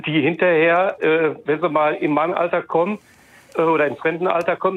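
A man in his fifties calling in to a radio talk show, blunt and indignant, with many fillers. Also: a little high. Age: 50s. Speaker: caller. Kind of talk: call-in radio comment. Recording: tinny phone line.

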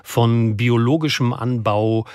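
A man's voice: mid-range voice, steady tone, takes it slowly, a little mellow, clean tone, no room sound, clean studio sound. A man hosting a radio programme, presenting conversationally and summing things up in an articulate way. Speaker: radio host.

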